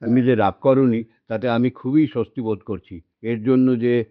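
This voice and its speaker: An actor playing a troubled, polite elderly gentleman in a radio drama, speaking in a halting, unsure way. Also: mid-range voice, fairly even intonation, no room sound, natural voice. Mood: apologetic, hesitant. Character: hesitant, courteous elderly gentleman, troubled and weary. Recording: dull, dry lo-fi radio drama audio.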